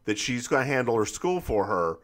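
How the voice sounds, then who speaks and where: A man's voice: medium pitch, big pitch swings, a little fast, crowd noise. A man with a Southern US accent co-hosting a podcast, recapping a film in casual, playful chat with an effete, folksy manner. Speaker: podcast co-host.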